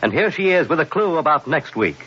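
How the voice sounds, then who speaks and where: A man announcing credits in a brisk, formal 1950s radio style, heard through a dull old broadcast recording. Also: on the high side, big pitch swings, sharp tone, slight background noise.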